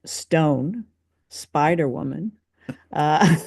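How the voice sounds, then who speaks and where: A woman's voice: deep voice, very slow, vocal fry, darkish tone, slightly rough, dry recording, clean studio sound. A woman co-hosting a casual review chat, speaking in a musing, wry way. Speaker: podcast co-host.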